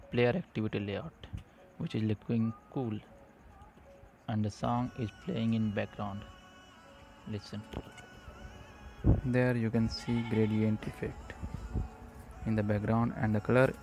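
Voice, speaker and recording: A man narrating a scripted software tutorial demo in short, halting phrases. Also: lowish voice. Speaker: tutorial presenter. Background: music playing behind the voice.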